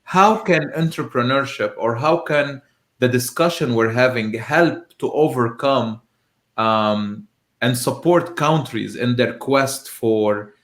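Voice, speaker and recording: A man from Lebanon, a professor hosting a live online discussion, speaks earnestly and with emphasis, sometimes pausing to find his words. His mid-pitched voice carries a noticeable room echo. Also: a bit bright. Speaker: host and university professor.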